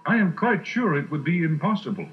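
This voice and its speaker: A male narrator puts on a suave, aristocratic don for a storybook adventure. He is polite and coolly inquisitive, with very lively intonation. The sound is a bit dull, with music underneath. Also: on the high side, fairly quick, voice pushed up. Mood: coolly musing. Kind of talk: dramatised storybook reading. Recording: muffled storybook recording.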